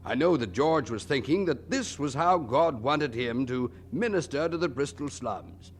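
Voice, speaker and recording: A man's voice: mid-range voice, expressive, unhurried, slight background noise, close to an everyday voice. A man playing a candid, wryly puzzled preacher who narrates his memories in a radio drama, reflective and conversational.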